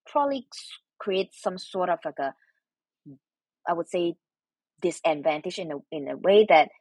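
Soft husky woman. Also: deep voice, no room sound, low-quality recording. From Malaysia. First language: Mandarin Chinese. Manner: halting, many restarts and fillers. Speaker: guest. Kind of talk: interview answers.

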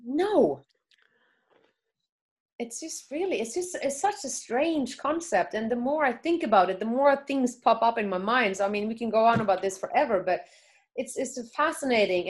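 Woman, fairly high pitch, casual discussion, questioning, opinionated, thinking aloud. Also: lively intonation, normal speed.

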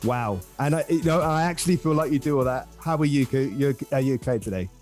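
A man's voice: medium pitch, quick pace, darkish tone, soft background music. A man with an English accent hosting a podcast and greeting his guest in a friendly, upbeat way. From England. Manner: friendly, upbeat, sings intro lines. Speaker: podcast host. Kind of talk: podcast interview.

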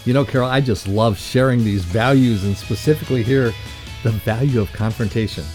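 A man's voice: medium pitch, a little fast, darkish tone, faint music behind. A man co-hosting a podcast and reading a scripted lesson on leadership. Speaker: podcast co-host and reader.

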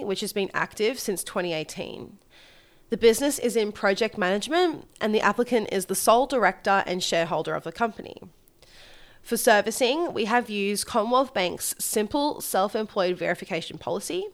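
An Australian woman with a slight head cold, presenting a scripted solo podcast as co-host and mortgage broker. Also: mid-range voice. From Gold Coast, Australia.

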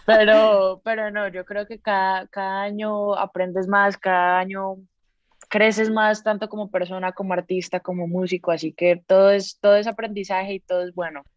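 A young Colombian woman musician, a guest in an interview, who talks in a relaxed and upbeat way, filling her speech with "pues". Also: medium pitch, moderate pace, no echo, highs cut off. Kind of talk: casual interview.

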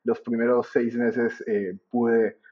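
Husky Colombian man on a muffled line. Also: mid-range voice, slow. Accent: Colombian Spanish. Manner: relaxed, with frequent hesitations and restarts. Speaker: podcast guest, trader and educator. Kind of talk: conversational interview answers.

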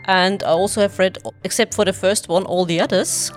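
A woman hosting a book podcast, speaking in measured, deliberate phrases. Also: mid-range voice, steady tone, soft background music. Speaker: podcast host. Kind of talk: podcast interview.

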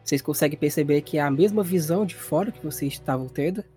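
Higher-pitched Brazilian man narrating as game master. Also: fast talker, dark tone, soft background music. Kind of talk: narrating a tabletop role-playing game.

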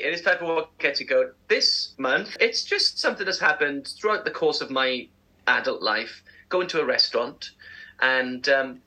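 A man's voice: a little high, pushed and bright, breathy edge, low-quality recording. A man co-hosting a chat show, telling rambling, humorous anecdotes in a casual style. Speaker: co-host presenting segments.

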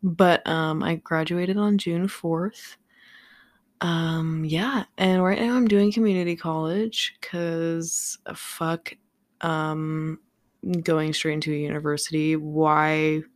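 A young woman from Southern California, talking in a low, unhurried voice that sounds a little raspy and worn out from the late hour. She rambles casually with plenty of ums and swearing, close to a dry microphone at home. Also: steady tone, dry recording. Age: young adult. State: tired, slightly raspy late at night. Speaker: podcast host. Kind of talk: casual solo podcast chat. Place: home room with a fan running. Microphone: studio microphone without pop filter.

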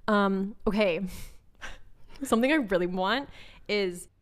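A woman's voice: mid-range voice, takes it slowly. A young woman in her twenties co-hosting a podcast, chatting casually at home with lots of "like" and frequent laughs. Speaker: podcast co-host. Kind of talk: casual chat. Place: indoors at home.

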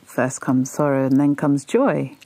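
A woman playing a caring, weary waterbird in an audio drama, speaking softly and soothingly. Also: a bass voice, measured pace, no echo, heavily acted. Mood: tender, weary. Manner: soft, soothing.

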